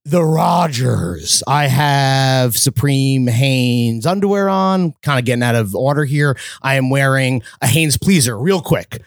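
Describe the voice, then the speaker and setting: A man's voice: medium pitch, a little slow, few pauses, dry recording, clean studio sound. A man co-hosting a podcast, riffing in casual, profane banter. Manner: animated, profane, jokey riffing. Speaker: podcast co-host. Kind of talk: casual chat.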